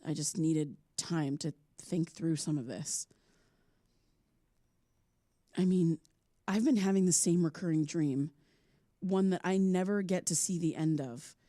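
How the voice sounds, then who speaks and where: A woman's voice: low-pitched, unhurried, long pauses, mellow, no echo, studio quality. An actress playing a troubled, haunted woman podcaster, earnest and hesitant, in a naturalistic audio drama. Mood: brooding, determined.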